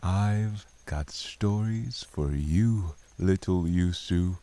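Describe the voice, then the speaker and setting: West African village drummer, low pitch, slow pace, gracious, welcoming, audio drama. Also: male voice, dark tone. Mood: tender. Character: friendly West African village drummer, proud storyteller's son.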